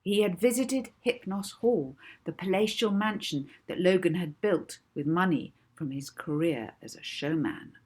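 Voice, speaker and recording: A woman reading a children's novel aloud as an expressive audiobook narrator. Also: lowish voice, measured pace, few pauses, husky.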